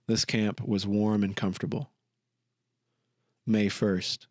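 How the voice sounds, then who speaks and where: Lowish-voiced man reading, dry sound. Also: level tone, takes it slowly, no echo. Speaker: podcast narrator. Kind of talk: scripted narration of a magazine article.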